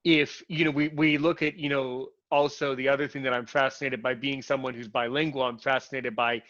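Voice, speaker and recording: A man's voice: mid-range voice, level tone, a little fast, fairly bright tone, dry recording. A man hosting a casual conversation, who rambles and restarts his sentences a lot. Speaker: host. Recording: muffled, lo-fi, dry sound.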